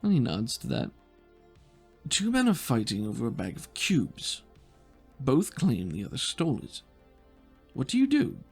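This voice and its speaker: Man, game master, measured tabletop narration, gravelly creak, raspy husk. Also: mid-range voice, wildly swooping pitch, soft background music.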